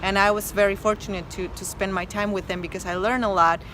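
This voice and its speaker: A woman's voice: medium pitch, fairly even intonation, fast talker, fairly bright tone, slight background noise, low-quality recording. A woman from Mexico with a Mexican Spanish accent, a doctor talking earnestly and emphatically as a guest, with many fillers and restarts. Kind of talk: casual interview chat.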